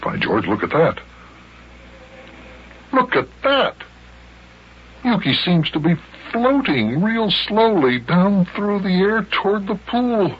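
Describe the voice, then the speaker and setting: A man's voice: sits high, sing-song, slow pace, pauses often, exaggerated voice. A man playing a friendly, marveling grown-up companion in a children's radio serial. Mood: amazed. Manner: exclamatory, marveling. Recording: muffled, faintly noisy old radio recording.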